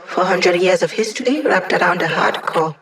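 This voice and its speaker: A woman's voice: on the low side, normal speed, a bit bright. A woman voice actor playing an upbeat, wisecracking young woman hacker in a video game, casual, playful and teasing.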